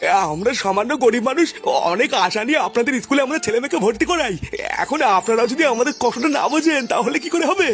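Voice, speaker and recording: A man's voice: very high-pitched, expressive, fast, a bit bright, faint music behind, exaggerated voice. A man with a West Bengal Bengali accent voicing a humble, poor old grandfather in a comedy cartoon, deferential, hesitant and coaxing. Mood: pleading.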